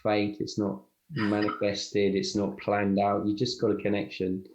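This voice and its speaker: A man hosting a podcast, talking in a thoughtful, halting way that often repeats words. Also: on the low side, monotone. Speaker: podcast host. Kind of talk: casual podcast chat. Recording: echoey room.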